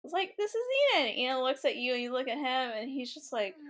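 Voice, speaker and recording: A young woman co-hosting a casual podcast, with a high, girlish voice, telling stories with lots of laughter. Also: brisk. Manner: giggly, animated storyteller. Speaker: podcast co-host. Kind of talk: casual chat.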